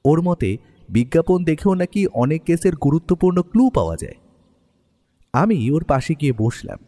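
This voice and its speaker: A man performs a detective's writer companion narrating an audio mystery. His mellow, somewhat high voice moves with lively swings of pitch, expressive and literary. It carries long reverb over music. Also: normal speed. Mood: calm, storytelling. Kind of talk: scripted first-person story narration and drama acting.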